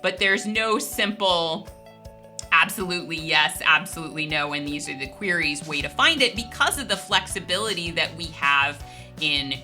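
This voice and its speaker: Female tech instructor, slightly low pitch with a very bright, sharp tone; conversational, emphatic explainer delivery. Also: expressive, normal speed, faint music behind. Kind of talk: technical software lesson, conversational explaining.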